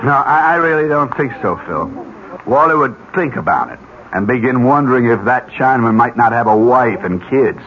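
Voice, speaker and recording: Earnest, animated American office worker. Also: male voice, medium pitch, normal speed. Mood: doubtful, insistent. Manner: slangy, emphatic. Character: resigned male office colleague, plain-spoken, earnest. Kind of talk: 1970s radio drama acting. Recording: dull lo-fi 1970s radio recording.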